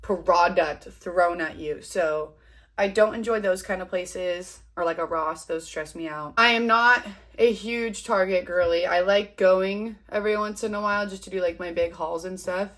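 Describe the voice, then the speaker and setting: A woman's voice: lowish voice, normal speed, a bit bright. A woman in her twenties with a sniffly cold, chatting casually and rambling to her viewers while she does her makeup at home. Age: 20s. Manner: chatty, rambling, self-deprecating. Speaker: vlogger. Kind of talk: casual chat to camera.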